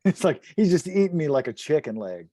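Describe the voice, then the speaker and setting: A man's voice: on the high side, very animated, a little fast, dark tone, dry recording. A man playing a tabletop game, chatting casually about rules and throwing in joking quips. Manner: joking, quippy.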